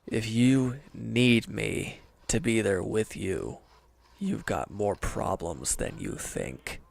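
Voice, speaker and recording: A man playing a wounded, proud villain in an intimate audio drama, sullen and guarded, curt and halting in a breathy murmur. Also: lowish voice. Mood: defensive, sullen.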